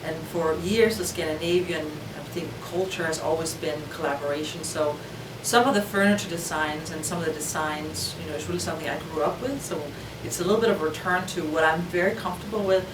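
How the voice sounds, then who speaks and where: A low-voiced woman from Denmark talks reflectively about her organization, with plenty of "you know"s. She sits back from the mic in an echoey room, and a steady rumble runs underneath. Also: a little fast, recorded from a distance. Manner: reflective, loose with fillers. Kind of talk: interview talk. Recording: roomy, off-mic, noisy rumble.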